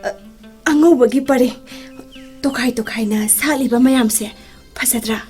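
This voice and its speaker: An actress playing a proud, sulky but tender young sweetheart in a romantic radio play, pouting and teasing. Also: female voice, fairly high pitch, racing, darkish tone, faint music behind, natural voice. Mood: admiring, tender.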